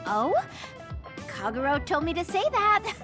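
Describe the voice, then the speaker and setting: A woman voicing a timid, sweet young girl in an anime-style game, speaking in a halting, polite way. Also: sits high, sing-song. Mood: cheerful. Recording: music behind the voice.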